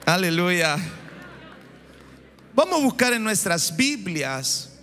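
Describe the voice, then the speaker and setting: A man's voice: high voice, sing-song, slow pace, a little hiss or hum. A man in his forties, born in Chile, preaching a fervent sermon into a handheld mic on a big church stage. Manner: fervent, rising to shouts and exclamations. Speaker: pastor. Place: large church stage before a big congregation. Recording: echoey hall with music behind.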